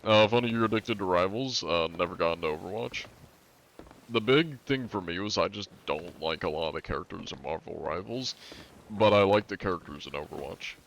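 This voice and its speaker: Young streamer dude in his 20s, lowish voice, rambles through chat with lots of likes and uhs. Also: expressive, a little fast, vocal fry, fairly bright tone, a little hiss or hum. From northern United States. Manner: rambling, filler-heavy, reading chat aloud. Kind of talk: casual live-stream chat while gaming.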